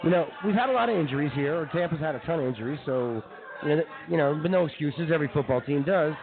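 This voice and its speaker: A male co-host who rattles along quickly in a slightly high voice. He talks in informal, slangy radio banter, and the audio is narrow and tinny. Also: lively intonation, creaky. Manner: informal, peppers talk with "dude" and "man". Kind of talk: casual sports and comedy banter.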